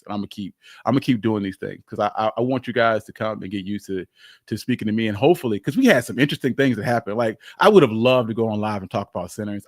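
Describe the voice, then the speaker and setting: A man's voice: on the low side, dry recording, studio quality. A man hosting a casual, unscripted livestream, rambling informally and often repeating his phrases. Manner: informal, chuckles often, swears mildly. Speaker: livestream host.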